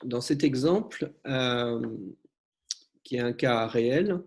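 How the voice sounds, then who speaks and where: A man's voice: mid-range voice, slow pace. A man, a photovoltaic professional, presenting a case study in an online webinar in a hesitant way.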